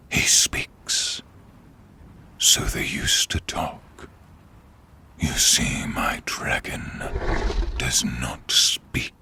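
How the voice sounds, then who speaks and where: A man playing a menacing, bitter dark sorcerer king risen from peasantry, with a gravelly half-whispered rasp and grandiose, taunting delivery in a fantasy audio drama. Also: rumbling low, very animated, full character voice. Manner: slow, theatrical, taunting delivery. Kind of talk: fantasy audio roleplay acting.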